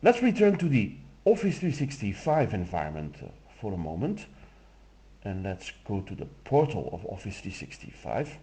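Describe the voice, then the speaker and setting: A man whose first language is Dutch, explaining a software demo step by step in a relaxed way with some fillers. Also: fairly low pitch, normal speed. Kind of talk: screen-recorded software walkthrough.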